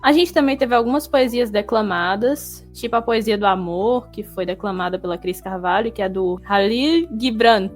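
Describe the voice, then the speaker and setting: A woman's voice: mid-range voice, normal speed, few pauses, soft background music. A Brazilian woman hosting an informal retrospective chat about her group's work. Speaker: audio drama group founder and host.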